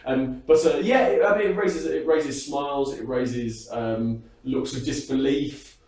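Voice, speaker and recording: A man's voice: mid-range voice, unhurried, darkish tone. A British man being interviewed backstage, talking casually in a chatty, self-deprecating and rambling way with frequent hesitations. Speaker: interviewed singer and entertainer. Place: backstage at a music hall. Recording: echoey room, distant microphone.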